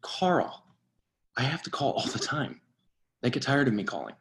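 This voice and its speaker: A man acting a dry, by-the-book surveillance agent and mentor in a screenplay reading, with a measured, instructive delivery. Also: medium pitch, sing-song, a bit bright. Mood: insistent.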